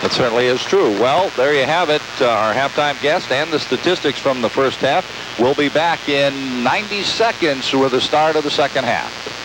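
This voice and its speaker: A man's voice: mid-range voice, animated, moderate pace. A man calling live basketball play-by-play on the radio in clipped, descriptive phrases. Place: courtside in a large sports dome. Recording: hissy, noisy amateur tape of radio broadcast.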